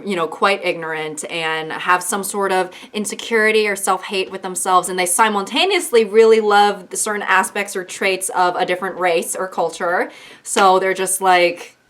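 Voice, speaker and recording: A woman's voice: mid-range voice, normal speed, fairly bright tone. Chatty, sarcastic woman vlogger. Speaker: YouTuber. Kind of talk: casual commentary vlog.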